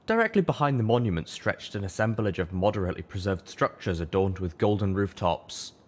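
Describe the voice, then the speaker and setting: Low-pitched man narrating a story. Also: expressive, fairly quick. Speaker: audiobook reader. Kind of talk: scripted first-person story narration.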